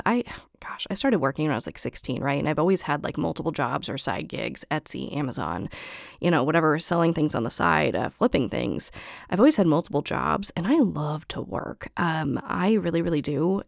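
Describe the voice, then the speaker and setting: A young woman hosting her podcast, talking casually to listeners with a hoarse, raspy voice and many ums. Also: low voice, dry recording, studio quality. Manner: chatty, frequent ums and likes, laughs softly. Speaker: podcast host. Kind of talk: after-show update to listeners.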